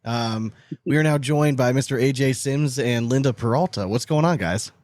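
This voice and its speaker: A man's voice: medium pitch, normal speed, a little mellow, dry recording. A man in his thirties hosts a casual podcast in an ordinary mid-range voice. He talks loosely and slangily, like chatting with buddies, and the sound is close and dry. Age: 30s. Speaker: podcast host.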